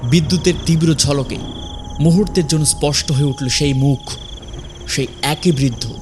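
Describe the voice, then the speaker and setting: A man narrating a scripted horror story dramatically, in measured, suspenseful phrases with pauses. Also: mid-range voice, noisy background.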